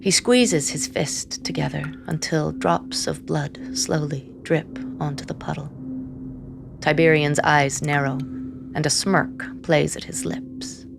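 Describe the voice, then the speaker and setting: An actress playing an anxious, devoted sister who narrates a dark fantasy audio drama in a measured, intense way. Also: female voice, low-pitched, fairly even intonation, gravelly, over music, heavily acted. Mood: grave, tense. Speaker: first-person narrator.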